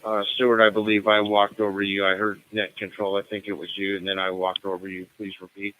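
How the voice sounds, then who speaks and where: A man's voice: fairly low pitch, completely flat delivery, brisk, pushed and bright, low-quality recording. An American man acting as net control, running a radio roll call in a formal, procedural manner.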